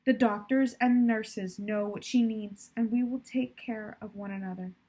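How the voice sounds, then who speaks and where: Woman reading a caring storybook family friend lady, gentle n explaining things, bit husky. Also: on the high side, steady tone, a little slow, low-quality recording. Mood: reassuring.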